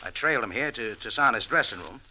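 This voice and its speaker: An actor playing a tough, flippant American cafe owner who narrates an old-time radio mystery in terse hard-boiled style. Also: male voice, mid-range voice, animated, fast, bright, forceful tone. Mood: cagey.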